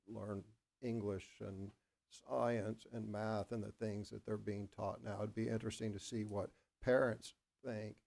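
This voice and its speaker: A man from Oklahoma, a school board member, talking at a board work session in a rambling, halting way with many restarts and a self-deprecating manner. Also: fairly low pitch, gravelly, a little mellow. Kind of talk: board meeting discussion.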